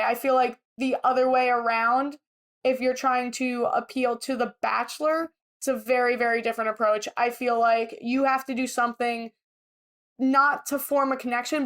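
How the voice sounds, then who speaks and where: A woman co-hosting a podcast, chatting casually and giving her opinions freely. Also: a little high. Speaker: podcast co-host. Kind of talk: casual chat, reality TV recap.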